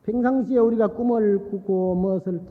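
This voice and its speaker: A man's voice: high voice, fairly even intonation, moderate pace. Reverberant, muffled male Buddhist monk preaching. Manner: folksy, repeats phrases for emphasis, checks in with listeners. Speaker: Buddhist monk giving a dharma talk. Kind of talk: Buddhist sermon with storytelling. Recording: muffled phone-like sound in long-reverb hall.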